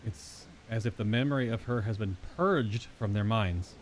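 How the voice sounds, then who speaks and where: A man's voice: on the low side, moderate pace, a little hiss or hum. A man live-streaming a game, reading story dialogue aloud in character and now and then stumbling over invented names. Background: game music.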